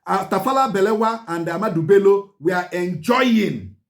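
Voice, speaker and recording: A Nigerian man with a fairly high voice talks through history in an emphatic, lecturing way. He hammers on his points by repeating them and tells his listeners to pay attention. Igbo phrases slip into his English now and then. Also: expressive, normal speed. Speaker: channel host. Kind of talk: history commentary to camera.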